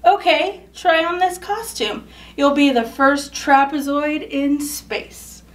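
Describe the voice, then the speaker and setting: A woman's voice: sits high, steady tone, takes it slowly, far from the mic. A woman voicing a bossy, self-assured triangle who directs a show in a picture book, commanding and dismissive. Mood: relenting.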